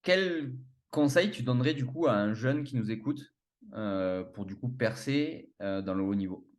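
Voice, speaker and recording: A man from France hosting a podcast interview, asking relaxed, hesitant questions. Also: mid-range voice, moderate pace. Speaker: podcast host. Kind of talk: casual interview.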